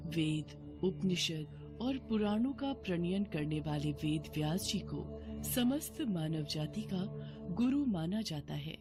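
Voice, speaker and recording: A woman narrating a scripted devotional story as a storyteller. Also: fairly low pitch. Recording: music bed behind voice.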